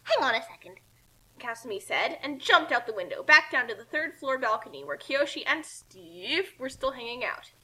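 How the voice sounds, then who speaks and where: A woman reading a story aloud as narrator, in an animated, informal style with casual asides. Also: a little high, moderate pace, few pauses, husky, poor audio. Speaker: narrator reading a fan fiction.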